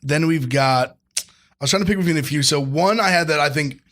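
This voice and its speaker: A man co-hosting a podcast in casual chat, with a deep raspy baritone and a laid-back delivery full of "like" fillers. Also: brisk, fairly bright tone. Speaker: podcast co-host.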